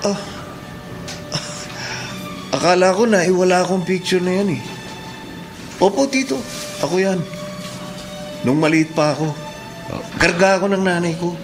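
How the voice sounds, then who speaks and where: A young Filipino police officer, high-pitched for a man, respectful and soft-hearted. It is radio drama acting of a devoted son, with music clearly playing behind him. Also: a little slow, long pauses. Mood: polite, earnest. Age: young adult.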